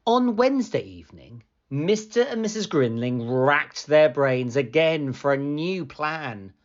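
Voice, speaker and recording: A woman reads a picture book aloud for children. Her low voice moves slowly and with plenty of melody. The recording is a little dull and lo-fi. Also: animated. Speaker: storybook reader. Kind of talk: read-aloud children's story narration.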